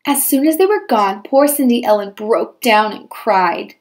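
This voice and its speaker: A woman teacher reading a children's storybook aloud with expressive, dramatized storytelling. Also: on the high side, a little slow.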